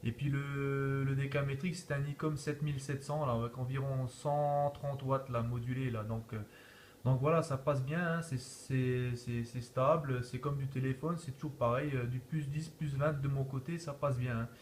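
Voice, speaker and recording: A man chats casually as an amateur radio operator, with faint noise in the background. His pitch is ordinary and his phrases often close on short verbal tags. He is from northeast France. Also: steady tone, normal speed. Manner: chatty, habitually tags phrases with "là" and "hein". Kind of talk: casual radio contact chat.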